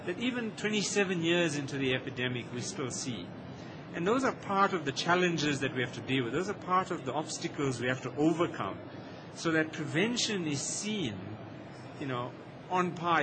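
A man, a physician, speaking on a panel in a loud, emphatic and earnest way. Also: on the high side, background noise. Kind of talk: panel discussion.

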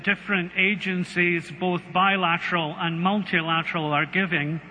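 A Scottish man speaking on a conference panel, halting with many ums and a dry wit. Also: high-pitched, pushed and bright. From Scotland. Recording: long hall reverb, faint noise.

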